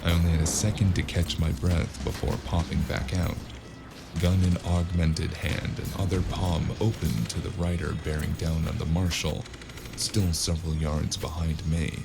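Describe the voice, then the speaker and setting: A man with a gravelly voice narrating a cyberpunk noir audio drama in the first person, as a weary, wry gunman. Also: rumbling low, little pitch movement, moderate pace, noisy background. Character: weary, wry male cyberpunk gunman telling his own story. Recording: music under the voice.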